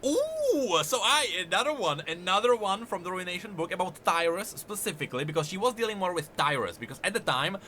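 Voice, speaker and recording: Animated, high-pitched, bright-voiced man. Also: brisk, few pauses, a little hiss or hum. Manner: enthusiastic, emphatic. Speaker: reactor and game lore commentator. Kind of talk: excited off-the-cuff reaction commentary.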